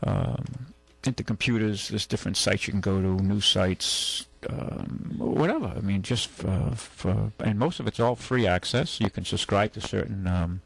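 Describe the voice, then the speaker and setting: A man hosting a call-in radio show, talking casually and haltingly, rambling as he goes and reading out items. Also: fairly low pitch, vocal fry, husky. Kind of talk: casual talk radio, reading news and chatting. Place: radio studio. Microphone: close studio microphone.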